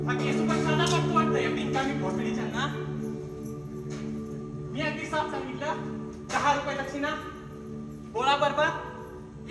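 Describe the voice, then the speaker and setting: A coarse, stingy old priest who nags his wife, Marathi accent, fairly high voice, heard from afar in an echoey hall with music. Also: far from the mic. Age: young adult. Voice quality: coarse, grumbling, abusive. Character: bitter miserly old priest husband, scolding. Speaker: stage actor. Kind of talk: live stage acting. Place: large theatre stage.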